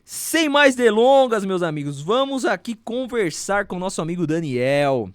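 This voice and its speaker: A man's voice: high voice, sing-song, normal speed, smooth, no echo, clean studio sound. A man hosting a Brazilian business podcast, reading sponsor ads and chatting with a guest in an enthusiastic, friendly manner. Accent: Brazilian Portuguese. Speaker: podcast host. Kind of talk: sponsor reads and interview hosting.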